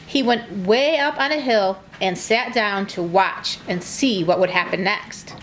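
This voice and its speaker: A woman narrating a scripted story for children in a simple, clearly phrased read-aloud way. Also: medium pitch, fairly bright tone, slight background noise. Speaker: narrator of a children's church puppet show.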